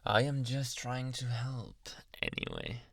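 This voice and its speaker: A man playing a possessive, softly menacing psychiatrist in an ASMR roleplay, coaxing in a hushed, breathy, deliberate voice. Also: huge pitch swings, dry recording, studio quality, full character voice. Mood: persuasive, possessive. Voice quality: breathy, gravelly low voice.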